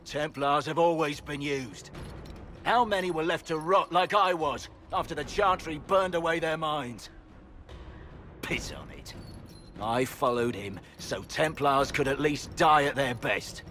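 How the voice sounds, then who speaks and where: Bitter, scornful fallen knight. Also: male voice, on the high side, animated, a little slow, bright, forceful tone, slight background noise. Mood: defiant, scornful. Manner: scornful, cynical. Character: bitter, defiant fallen knight turned traitor general. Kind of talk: dramatic video game dialogue.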